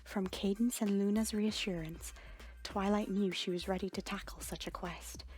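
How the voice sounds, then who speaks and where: A woman's voice: medium pitch, steady tone, normal speed, darkish tone, rough recording. A woman reading fan fiction aloud as a scripted, dramatic story narrator. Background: background music.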